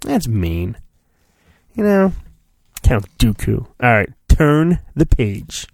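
A man's voice: medium pitch, sing-song, slow, no room sound, clean studio sound. A man hosting a podcast, giving a mock-dramatic storybook reading and breaking off for irreverent, crude asides. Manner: theatrical, irreverent, often breaking off to comment. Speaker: podcast host.

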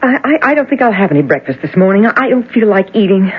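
Nervous young fiancée, expressive voice, old radio play recording. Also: female voice, mid-range voice, fast talker, breathy edge. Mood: shaken. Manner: nervous, protesting. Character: young woman, engaged, frightened. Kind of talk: 1970s radio drama acting. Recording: dull old radio broadcast, faint noise.

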